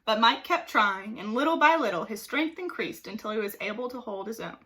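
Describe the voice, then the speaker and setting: A woman reading a children's story aloud like a teacher, with expressive, dramatic emphasis. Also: fairly high pitch, fairly quick. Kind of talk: animated storybook narration for children.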